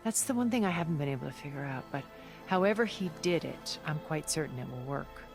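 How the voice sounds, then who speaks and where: An actress playing a worldly, regretful, scheming middle-aged woman of a far-future elite in a video game, speaking in a measured, articulate, theatrical way. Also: sits low, animated, creaky, music in the background. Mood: sly confidence. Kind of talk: dramatic video game cutscene acting.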